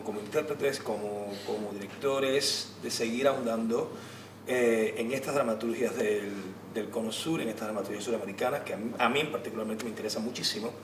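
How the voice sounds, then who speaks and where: A man gives an unscripted panel answer as a theater practitioner, stressing points through repeated phrases and pauses. He is some way from the mic, with room echo and clear background noise. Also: medium pitch, distant mic. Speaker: theater actor and director on a panel. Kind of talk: spontaneous answer at a public talk. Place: indoor theater venue during a public discussion.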